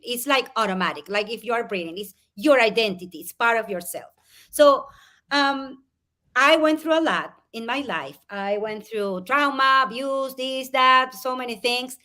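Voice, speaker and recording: Woman, guest coach on a live video call, high voice, animated and expressive. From Spain, raised in Venezuela. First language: Spanish. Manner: animated, expressive, quick to laugh. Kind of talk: live interview, explaining a method.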